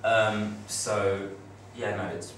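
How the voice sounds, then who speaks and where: Young man, low flat voice, chatty with fillers, classroom, far echoey mic, noisy. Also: takes it slowly. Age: young adult. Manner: chatty, loose, many fillers and restarts. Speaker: student on a study-abroad panel. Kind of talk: casual informal talk to students. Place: classroom with projector screen. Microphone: far camera.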